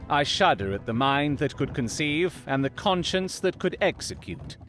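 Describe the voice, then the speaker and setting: An actor playing a brilliant Victorian detective in an audio drama, coolly analytical, with precise, theatrical, formal delivery. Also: male voice, on the high side, very animated, normal speed, fairly bright tone, faint music behind. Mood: grave, deliberate.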